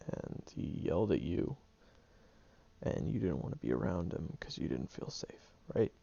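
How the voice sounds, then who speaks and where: A man's voice: deep voice, animated, normal speed, creaky, dark tone, breathy edge, full character voice. A man voicing a prickly young male student who secretly cares, talking hesitantly with stammers and ums in an audio roleplay. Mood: grudgingly listening. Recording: dull lo-fi recording.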